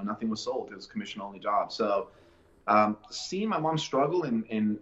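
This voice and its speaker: A man from Colombia, a podcast guest, telling his life story in a relaxed, reflective way with many fillers and restarts. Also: lowish voice, dull audio. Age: adult, a father. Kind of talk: casual interview storytelling.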